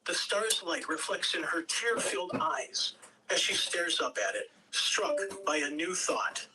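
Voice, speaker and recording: Table-read narrator for stage directions: bright, measured delivery, recorded a little away from the mic. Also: mid-range voice, animated. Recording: distant mic, faint background noise.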